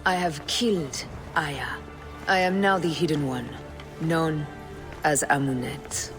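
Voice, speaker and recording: Slow, grave woman assassin. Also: low-pitched. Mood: solemn resolve. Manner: grave, deliberate, formal. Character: solemn, resolute woman assassin, betrayed former servant of queen. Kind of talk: dramatic video game acting and narration. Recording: music under the voice. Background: music.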